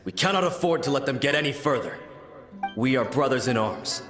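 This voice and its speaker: Game role: man as steadfast samurai comrade, medium pitch, firm and commanding, echoing voice. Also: expressive, fairly quick, a bit bright, close to an everyday voice. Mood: determined. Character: resolute, loyal samurai soldier rallying his comrades. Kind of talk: English video game voice acting. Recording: echoing voice over music. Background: background music.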